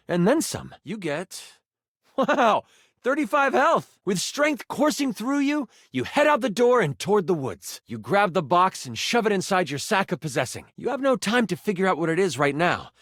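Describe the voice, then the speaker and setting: Theatrical game master, suspenseful fantasy narration, lively swooping pitch, close dry sound. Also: male voice, dry recording. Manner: dramatic, suspenseful second-person storytelling.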